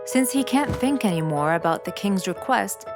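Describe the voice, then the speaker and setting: A woman's voice: medium pitch, animated, normal speed. A woman reading scripted narration for a children's cartoon story. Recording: music behind the voice.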